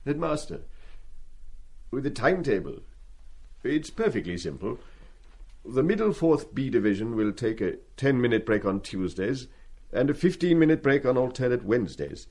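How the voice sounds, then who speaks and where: An actor in a radio play as a stiff, wounded older schoolmaster who speaks formally and precisely with dry, dignified restraint. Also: male voice, medium pitch, darkish tone. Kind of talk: radio drama acting.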